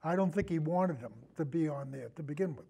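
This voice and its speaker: An older man talking casually about film as a guest, in a rambling, halting way that trails off. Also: fairly high pitch, gravelly, dark tone, slightly rough. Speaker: podcast guest, longtime radio show host.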